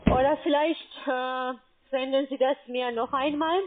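A woman's voice: sits high, fairly even intonation, measured pace. A woman working as a call center agent, speaking German with broken grammar and pushing insistently. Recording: tinny phone line.

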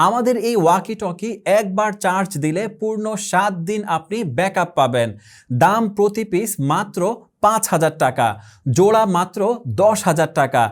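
A man with a Bangladeshi accent reading a scripted promotional voice-over in a salesmanlike way, in measured phrases. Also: high-pitched. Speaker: advertising voice-over announcer.

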